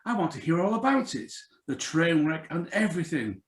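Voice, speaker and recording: A man's voice: fairly high pitch, sing-song, normal speed. Echoey, sardonic male blackmailer played by an actor. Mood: smooth menace. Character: cynical, sardonic male blackmailer, menacingly polite ex-husband. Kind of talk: 1940s-style radio suspense acting. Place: remote live reading over video call. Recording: roomy echo over video call.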